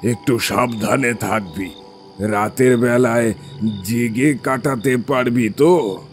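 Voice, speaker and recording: A man playing a stern, ominous village exorcist in a horror audio drama, solemn, deliberate and full of warning. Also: mid-range voice, soft background music.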